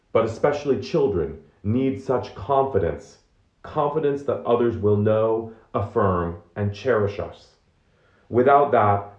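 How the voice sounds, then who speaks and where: A man's voice: lowish voice, a little mellow, lo-fi sound. A man reading nonfiction aloud as narration, in a roomy, echoing recording. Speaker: reader of a book excerpt.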